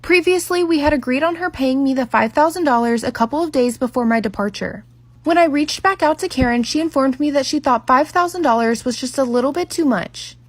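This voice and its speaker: A woman with a high voice narrates a scripted personal story. She speaks in orderly, articulate full sentences. The sound is dry and close, with no room echo. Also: fairly quick. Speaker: makeup artist storyteller.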